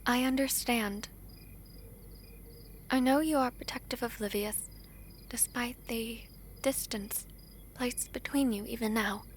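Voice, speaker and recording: A woman playing a gentle, earnest young princess in a romantic fantasy audio drama, speaking softly and formally. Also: a little high, a little hiss or hum. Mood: earnest, tender. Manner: soft, formal, measured diction with tender pauses.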